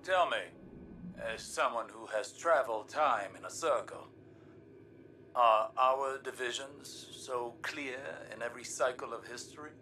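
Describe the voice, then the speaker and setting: A man's voice: mid-range voice, measured pace, sharp tone, far from the mic, slight background noise. An actor playing a thoughtful, earnest, scholarly male guardian in a video game, articulate, formal and musing. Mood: thoughtful, probing. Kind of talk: scripted video game dialogue.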